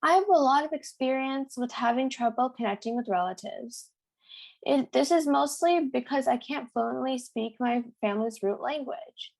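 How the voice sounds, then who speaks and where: A teenage girl co-hosting a youth podcast, reading her script in an earnest, steady way. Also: high-pitched, moderate pace, gravelly, low-quality recording. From United States. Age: teens. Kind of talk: read-aloud podcast script.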